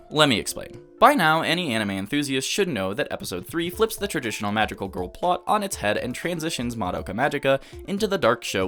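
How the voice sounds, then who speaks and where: A man's voice: medium pitch, bright, forceful tone. A man in his early twenties narrating a scripted video essay as an opinionated, enthusiastic fan. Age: early 20s.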